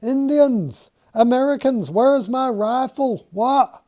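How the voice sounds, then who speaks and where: A man doing an excitable old-fashioned surveyor. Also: high-pitched, slow pace, voice pushed up. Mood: panicked. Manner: archaic thee-and-thou speech, loud and agitated. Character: excitable Quaker surveyor man, earnest, easily startled.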